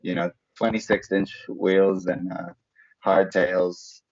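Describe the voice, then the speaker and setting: A man's voice: deep voice, level tone, takes it slowly, darkish tone. A man from Slovakia, a native Slovak speaker, chatting casually in an interview as a former pro athlete, searching for words as he goes. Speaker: former professional road cyclist turned mountain bike racer, interviewee. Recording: dull lo-fi audio.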